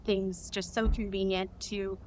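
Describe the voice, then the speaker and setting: A woman speaking as an interview guest, chatting casually and earnestly, often halting to search for words. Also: medium pitch, little pitch movement, a little mellow, slight background noise. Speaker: interview guest, audio drama co-creator.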